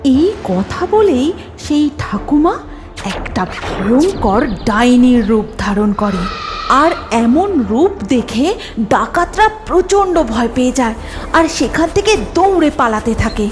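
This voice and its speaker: A woman's voice: high voice, very animated, slow, over music. A woman giving scripted narration for a cartoon story.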